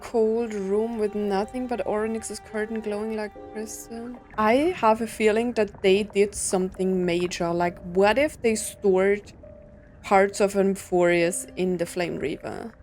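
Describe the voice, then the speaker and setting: A woman streaming a game in a mid-pitched voice, talking slowly and casually. She thinks out loud and puts questions to herself while music plays behind her. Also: steady tone. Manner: musing aloud, asking herself questions. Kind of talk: casual playthrough commentary.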